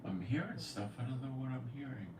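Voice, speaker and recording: A man's voice: mid-range voice, animated, a little fast. A man hosting a prophecy commentary channel, talking in a casual, rambling monologue with a blunt, scoffing, opinionated manner. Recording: echoey room, far from the mic, faint noise.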